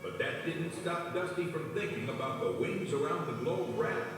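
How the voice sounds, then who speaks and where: A man narrates a children's storybook with lively intonation and a slightly high voice. The recording is heavily echoed and distant, with music playing behind him. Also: moderate pace. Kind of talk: scripted children's read-along narration.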